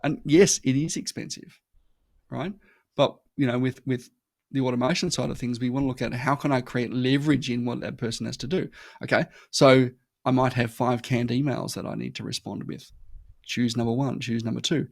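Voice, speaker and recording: A man with an Australian accent, a guest on a marketing podcast who talks in a lively, anecdotal way. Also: medium pitch, normal speed, gravelly, no echo, studio quality. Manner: animated storytelling, acts out customer voices. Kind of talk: conversational interview.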